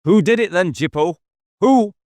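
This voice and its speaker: Agitated police inspector, played by a male narrator, pitched up, declamatory and challenging, dry audiobook sound. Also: expressive, normal speed, a little mellow, dry recording. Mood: demanding. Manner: challenging, raised declamatory delivery. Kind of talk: audiobook character dialogue.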